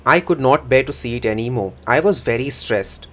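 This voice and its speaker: Man, voice-over interpreter, first-person account, middle pitch, plain measured delivery. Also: fairly bright tone. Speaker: voice-over interpreter for a signing speaker.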